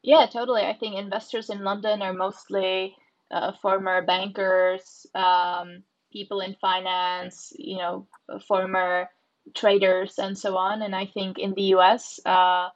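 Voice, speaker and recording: Woman, flat, muffled sound. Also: mid-range voice, unhurried. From Czech Republic. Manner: frequent fillers, thoughtful. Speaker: startup founder guest. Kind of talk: casual interview answers.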